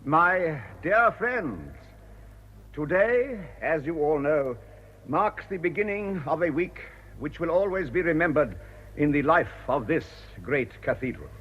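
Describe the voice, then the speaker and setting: An actor playing an earnest, careworn ageing cathedral canon in a British radio drama, with an English accent and formal, measured clerical diction. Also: male voice, a little high, sing-song, a little hiss or hum. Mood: solemn, ceremonial. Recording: muffled old radio broadcast.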